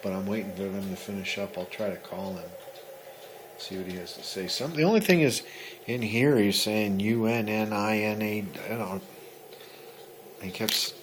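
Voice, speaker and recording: Male, low-pitched, casual rambling ham radio hobbyist thinking aloud, noisy recording. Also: normal speed. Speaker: amateur radio operator. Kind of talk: casual explanatory chat. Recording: clearly noisy recording with ambient sound.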